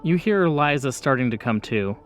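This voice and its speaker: A man's voice: medium pitch, expressive, normal speed, faint music behind. A man narrating a tabletop horror game as game master, describing scenes vividly and off the cuff.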